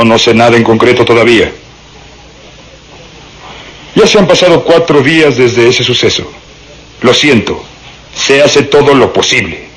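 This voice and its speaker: An actor playing a pompous, harried police inspector in a melodramatic radio serial, with declamatory delivery. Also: male voice, medium pitch, a bit bright, natural voice. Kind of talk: melodramatic Spanish-language radio serial acting. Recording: noisy radio serial recording.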